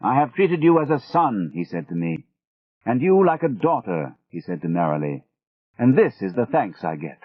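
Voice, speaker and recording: A man voicing a pompous, indignant older male artist with a British accent, speaking slowly and emphatically. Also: fairly low pitch, very animated, husky, no echo. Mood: wounded. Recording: dry, tinny phone-like sound.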